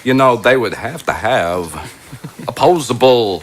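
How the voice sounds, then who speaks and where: A man acts out a fussy, self-important plush toy in a comedic audio drama. The delivery is slow and halting, with pitch that rises and falls widely. Phrases are drawn out pompously. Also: lively intonation, slight background noise, lightly acted. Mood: pompous reasoning. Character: pompous, fussy male stuffed toy animal without fingers.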